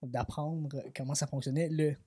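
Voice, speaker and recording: A man's voice: on the high side, steady tone, normal speed, mellow. A man in his thirties with a Quebec French accent, co-hosting a podcast, joking and swearing casually. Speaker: podcast co-host. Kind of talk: casual chat.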